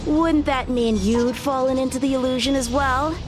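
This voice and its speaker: A woman playing a proud, overconfident teenage boy swordsman in a video game, speaking in a measured, self-assured way. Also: high voice, fairly even intonation, music in the background, close to an everyday voice. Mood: calm, entranced. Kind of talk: video game cutscene acting.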